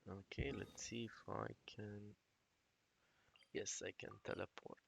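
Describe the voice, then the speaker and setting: A man's voice: lowish voice, little pitch movement, takes it slowly, creaky, background noise. A man making casual reactions as he plays through a video game. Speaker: gamer streaming a playthrough. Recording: game music behind the voice.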